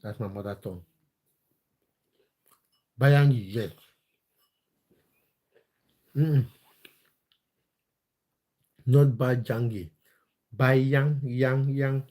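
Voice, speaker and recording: A man's voice: mid-range voice, steady tone, extremely slow, pauses often, warm tone. Cameroon-born man chatting on a livestream. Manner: reads out viewer comments and answers them, with many fillers and repeats. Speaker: livestream host answering chat. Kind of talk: casual livestream chat about football.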